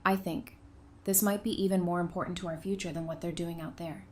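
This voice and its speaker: A woman playing a candid, caring, level-headed survivor in an audio drama, speaking in a measured, frank way. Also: lowish voice, fairly even intonation, a little mellow, natural voice. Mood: frank, serious. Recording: faint background noise and ambience.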